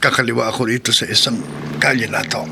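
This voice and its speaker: A man's voice: medium pitch, fairly even intonation, fast talker, gravelly, background noise, close to an everyday voice. A man with a Filipino accent playing a humble, hurt taxi driver husband in a melodramatic radio drama. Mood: dizzy, distressed. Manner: earnest, emotive, melodramatic.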